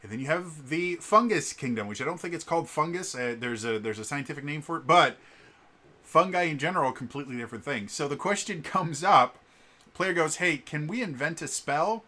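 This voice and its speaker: A man's voice: a little high, expressive, a little fast, sharp tone. A man talks casually as a vlogger and game master, explaining things in a digressive, storytelling way. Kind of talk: casual rambling storytelling vlog.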